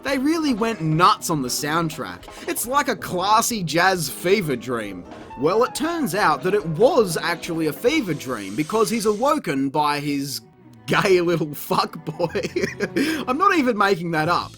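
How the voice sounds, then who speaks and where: High, animated sarcastic man reviewing. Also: a little slow, faint music behind. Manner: sarcastic, crude, mocking. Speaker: video reviewer. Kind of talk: comedic scripted review narration.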